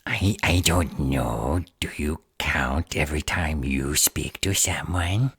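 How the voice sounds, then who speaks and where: Guy doing a super slow gravel-husky gremlin, whiny and defiant, fantasy audio roleplay. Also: a bit bright, dry recording, studio quality, heavily acted. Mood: evasive, sullen. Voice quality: very deep, husky, breathy rasp. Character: sullen, guilty male gremlin stalker in custody.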